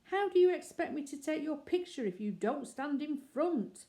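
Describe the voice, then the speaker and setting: A woman's voice: high-pitched, animated, normal speed, warm tone, voice pushed up. A woman doing a shifty seaside photographer. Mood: nasty. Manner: pushy and wheedling.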